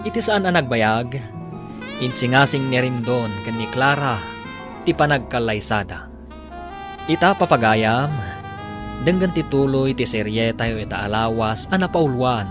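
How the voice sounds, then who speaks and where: A man's voice: mid-range voice, animated, measured pace, pauses often. Ilocano male radio drama announcer over music. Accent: Ilocano Filipino. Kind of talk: scripted dramatic radio narration. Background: instrumental music.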